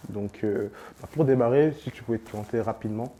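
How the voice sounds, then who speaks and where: A man's voice: fairly low pitch, fast talker, mellow, a little hiss or hum. A man hosting a casual interview, asking questions in an informal, slangy way with many fillers. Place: on location in a sports venue.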